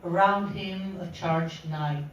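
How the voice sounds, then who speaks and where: A woman reads poetry in short, deliberate phrases at a slow pace. She sounds far from the microphone, in a very echoey room. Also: lowish voice, muffled recording, slight background noise. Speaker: poetry reader. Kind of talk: poetry reading. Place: indoor literary meeting room.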